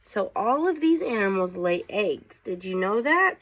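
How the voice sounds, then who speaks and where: Slow-paced woman reading aloud to children. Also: medium pitch, big pitch swings, a bit bright, rough recording. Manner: unhurried, inquisitive, prompting listeners with questions. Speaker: teacher reading aloud to students. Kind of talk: picture-book read-aloud with casual questions to children.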